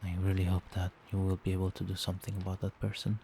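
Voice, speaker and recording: A man playing a doting, protective vampire boyfriend in a soft-spoken, soothing and attentive ASMR roleplay. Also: sits low, monotone, quick pace, vocal fry, a little hiss or hum. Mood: tender, concerned. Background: rain.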